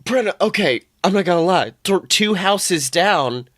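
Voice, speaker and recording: A man co-hosting a podcast in casual comedic banter, telling anecdotes in an animated, exclamatory, sweary way. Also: sits high, a little slow, no room sound, studio quality. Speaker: podcast co-host.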